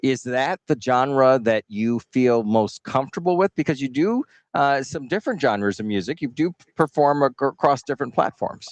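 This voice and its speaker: A man's voice: medium pitch, lively intonation, normal speed, few pauses, dry recording. A man hosting a radio interview, asking questions with many uhs and restarts. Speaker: radio host.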